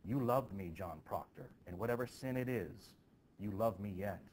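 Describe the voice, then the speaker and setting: A man's voice: lowish voice, moderate pace, darkish tone, slight background noise, lightly acted. A man voicing a lovesick, defiant young woman from a stage play, pleading dramatically.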